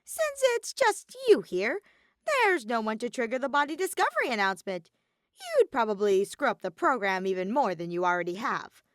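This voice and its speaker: A grumpy little robotic bear villain from a cartoonish story. The voice is high and swings wildly up and down, with exaggerated flippant delivery. It is recorded close and dry. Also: female voice, very animated, normal speed, no echo. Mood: grumpy, exasperated.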